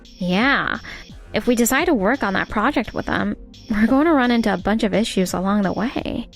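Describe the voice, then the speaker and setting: A woman's voice: medium pitch, animated, normal speed, natural voice. A woman voicing a careful, perceptive young secretary in an earnest manga dub style. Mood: businesslike. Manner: earnest, professional. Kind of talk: manga dub dialogue acting. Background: faint background music.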